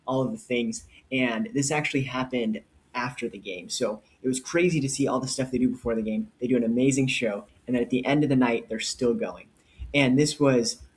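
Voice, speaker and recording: A man co-hosts a casual podcast and tells his stories with eager enthusiasm. His voice sits at an ordinary middle pitch, and he shares excited anecdotes. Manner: enthusiastic storyteller. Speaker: podcast co-host.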